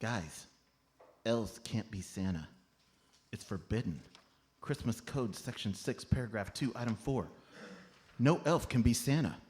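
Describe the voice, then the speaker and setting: A man's voice: on the low side, a little slow, pauses often, darkish tone, natural voice. A man playing an earnest, rule-bound master elf with a formal, precise way of talking, in a comedy radio play. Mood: pleading, insistent. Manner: formal, precise, bureaucratic recitation.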